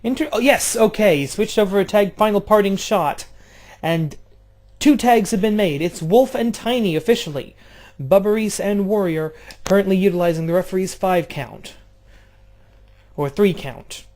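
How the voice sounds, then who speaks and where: A man doing casual play-by-play of a video game wrestling match, jumping between loud outbursts and low, offhand, swearing asides. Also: animated, normal speed. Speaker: play-by-play commentator.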